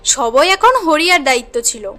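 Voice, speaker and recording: High-voiced woman narrating a cartoon story. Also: sing-song, normal speed, sharp tone, faint music behind.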